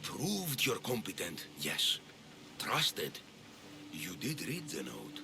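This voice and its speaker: A man's voice: lowish voice, huge pitch swings, takes it slowly, creaky, noisy background, exaggerated voice. A man acting a stern, proud and scheming Athenian general in a video game, with a commanding, curt manner. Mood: guarded, insistent. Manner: commanding, curt, self-important. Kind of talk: scripted video game dialogue.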